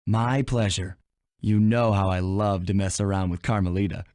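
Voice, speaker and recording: A man's voice: fairly low pitch, steady tone, moderate pace, a little mellow, dry recording, clean studio sound, close to an everyday voice. A man voicing a suave young animal thief in a cartoon video game, wry, casual and unflappable. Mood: wry, playful. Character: suave, laid-back, wisecracking young male animal thief.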